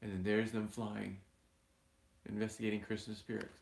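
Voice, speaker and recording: A man's voice: lowish voice, flat delivery, normal speed, gravelly, a little hiss or hum. A man reading a storybook aloud to children expressively, with simple asides explaining pictures and words.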